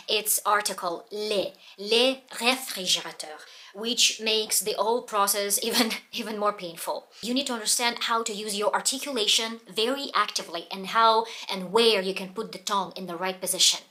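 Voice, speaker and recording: A woman with a Russian accent, a native Russian speaker, talking casually about how she trains her pronunciation, pausing often to find her words. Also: medium pitch, bright, forceful tone. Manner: earnest, pauses to search for words. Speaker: language learning vlogger.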